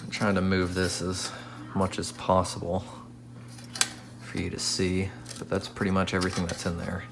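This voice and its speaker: Low-voiced man thinking aloud, noisy recording. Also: monotone, moderate pace. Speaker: radio restoration hobbyist. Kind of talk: casual hands-on repair commentary. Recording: clear background noise.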